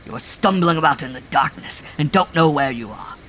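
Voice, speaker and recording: A man voicing a heavy middle-aged farmer in a cult, a fanatic who shouts and rants in a strained, raucous voice. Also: mid-range voice, normal speed, gravelly, slight background noise, close to an everyday voice. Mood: raging. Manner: shouting fanatic, later sly and cold. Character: raving heavy male farmer cult follower.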